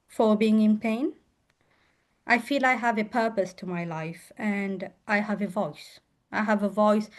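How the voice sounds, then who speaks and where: Earnest woman sharing her story. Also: medium pitch. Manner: earnest, repeats phrases for emphasis. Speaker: guest, chronic pain coach. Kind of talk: heartfelt interview conversation.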